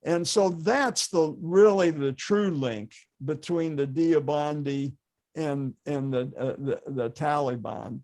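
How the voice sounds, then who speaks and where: An older man lecturing slowly and thoughtfully on history. His voice sits a little high for a man, and he stops often with fillers and false starts as he gathers his thoughts. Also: darkish tone. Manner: halting, many fillers and restarts.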